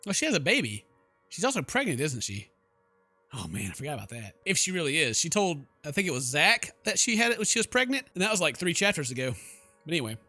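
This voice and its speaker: A man's voice: on the high side, sing-song, fast, sharp tone, no echo, faint music behind. A man doing let's play commentary, reading game text aloud dramatically and stumbling now and then. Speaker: gaming YouTuber.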